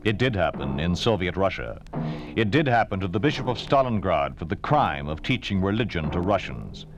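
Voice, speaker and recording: Low-pitched, grave American radio announcer. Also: male voice, sing-song, normal speed. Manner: grave, declarative. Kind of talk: 1950s radio announcing, scripted. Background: prominent music.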